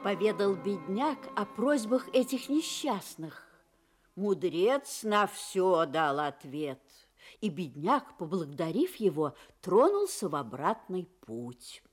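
A woman's voice: on the low side, big pitch swings, slow, husky, soft background music. A woman narrating a scripted fairy tale for children. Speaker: storyteller, narrator.

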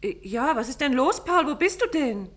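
An anxious detective's wife. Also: female voice, high voice, big pitch swings, normal speed, a bit bright. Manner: anxious, probing. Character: detective's wife, curious, worried, quick-witted. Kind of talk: 1950s radio play acting.

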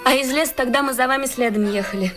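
Actress as tender, higher-voiced village woman. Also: quick pace, natural voice. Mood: wistful. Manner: simple, reserved, gently reproachful. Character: plainspoken village woman, tender, quietly holding a man back. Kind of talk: Russian radio play acting. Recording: music behind the voice.

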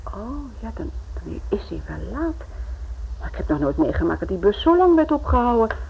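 A woman acting in a Dutch radio play as an anxious wife and worried mother, fretful and expressive. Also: on the high side, moderate pace, gravelly. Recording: dull old radio recording with faint hiss.

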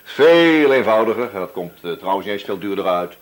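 A man's voice: fairly low pitch, animated, fairly quick, close to an everyday voice. A man playing a wily, relentless police inspector in a radio drama, feigning casual chat and probing until he accuses. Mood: deceptively casual. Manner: probing, hesitant feints turning accusatory.

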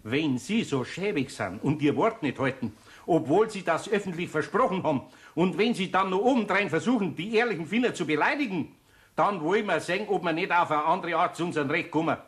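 A man's voice: on the high side, animated, brisk, a bit bright. An actor playing a good-hearted, grumbly older master craftsman in a German radio play, plain-spoken with a Bavarian accent. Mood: indignant. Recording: roomy studio echo.

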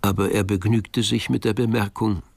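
Male narrator, deep-ish, heavily gravelly, dry close sound, scripted radio drama storytelling. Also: fairly even intonation, moderate pace, a little mellow, no echo.

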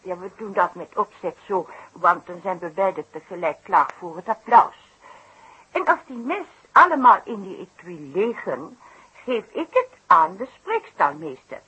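An actress playing an excitable, outspoken circus assistant who peppers her lively talk with French interjections in a 1960s radio play. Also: mid-range voice, unhurried, husky, lo-fi sound, heavily acted. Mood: explaining.

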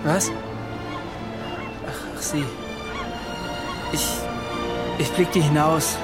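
An actor playing a dejected, shaken young seaman in a radio play, speaking in a halting, subdued way. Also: fairly high pitch, steady tone, over loud music. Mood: distressed. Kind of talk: German radio play acting.

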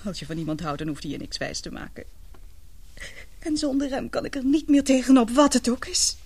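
A young woman playing an anguished daughter in love in a radio drama, confiding in halting, earnest, emotional speech. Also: high-pitched, lively intonation, darkish tone. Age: young adult.

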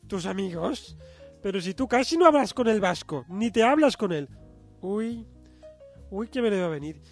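A melodramatic, nagging wife in a comic radio soap, pitched very high. The Spanish accent is from Spain, and the manner is snobbish, indignant and theatrical. Music plays behind the voice. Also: male voice, expressive, normal speed. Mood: jealous, sarcastic. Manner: scolding, indignant, theatrical outbursts.

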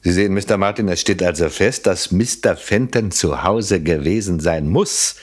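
An actor playing a shrewd, persistent police inspector in a German radio crime drama, speaking formally and deliberately as he probes. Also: deep voice. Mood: probing, methodical. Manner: probing, formal, pointedly deliberate.

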